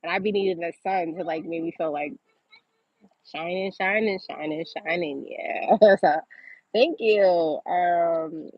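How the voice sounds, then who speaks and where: A woman's voice: on the low side, measured pace, dry recording, lo-fi sound. A woman from Massachusetts hosting a casual livestream, chatting informally as she reads and answers viewer comments. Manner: informal, chatty, often laughing as she talks. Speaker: livestream host.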